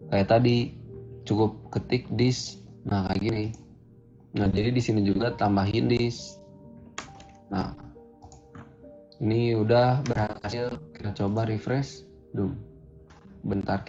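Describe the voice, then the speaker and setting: A man's voice: lowish voice, steady tone, slow pace, long pauses, mellow. A man teaching a programming lesson over a screen recording, talking casually and thinking aloud as he codes. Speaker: coding instructor. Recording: dull lo-fi audio with faint music.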